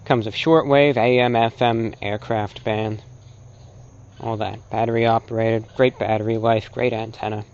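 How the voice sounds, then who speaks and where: A man presenting an informal, opinionated lecture as a broadcaster, speaking emphatically and deliberately with rhetorical repetition. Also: fairly low pitch, little pitch movement, a little mellow, muffled recording. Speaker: host and presenter, radio broadcaster.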